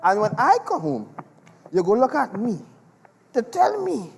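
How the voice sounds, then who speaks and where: Selfish Trinidadian family man played by a male stage actor, blustering, animated pitch, dialect comedy, reverberant hall. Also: a little slow, gravelly, full character voice. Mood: grumbling, sly. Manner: exasperated, exclamatory, dramatic. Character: selfish, rum-loving husband and father, blustering and evasive. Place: large performance hall, on stage. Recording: echoey hall with long reverb.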